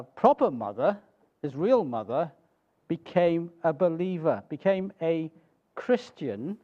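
Welsh preacher, fairly high-pitched man's voice. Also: darkish tone, highs cut off. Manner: halting, conversational storytelling with rhetorical check-ins. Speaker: church speaker, preacher. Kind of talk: informal sermon telling a missionary biography to a congregation.

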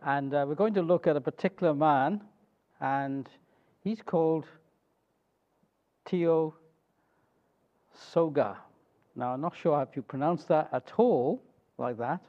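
A middle-aged man with a Welsh accent speaking as a preacher, telling a story to a congregation in a halting, conversational way with little check-in questions. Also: fairly high pitch, dull audio. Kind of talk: informal sermon telling a missionary biography to a congregation.

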